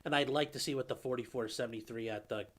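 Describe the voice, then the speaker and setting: A man with a middle-pitched, slightly nasal yet smooth voice talks through viewer questions on a live stream. He speaks casually, with plenty of fillers and restarts. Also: quick pace. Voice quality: calls his own voice nasally; a viewer calls it velvety. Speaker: lawyer host answering live chat.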